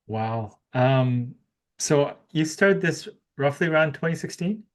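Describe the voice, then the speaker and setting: A man hosting a podcast interview, asking relaxed questions with a lot of fillers. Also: a little high, slow pace. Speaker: podcast host. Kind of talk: casual interview.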